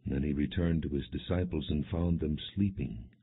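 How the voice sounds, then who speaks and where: Male audiobook narrator for scripture: low, husky, solemn, measured delivery. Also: steady tone. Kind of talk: scripted scripture narration, non-dramatized reading. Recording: muffled, narrow phone-like sound.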